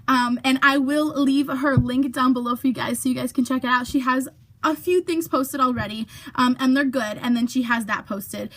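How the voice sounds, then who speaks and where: A woman's voice: high-pitched, fairly even intonation, normal speed. A young woman chatting casually to her camera in a vlog, full of fillers, sometimes dropping to a whisper for asides. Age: young adult. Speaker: YouTuber.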